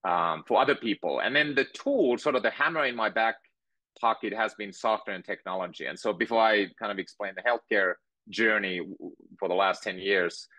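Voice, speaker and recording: Man, 40s, measured, lowish voice, bright, video call. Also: no echo. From Finland. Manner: thoughtful, measured storytelling. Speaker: guest entrepreneur. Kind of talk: interview conversation. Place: home room with bookshelves.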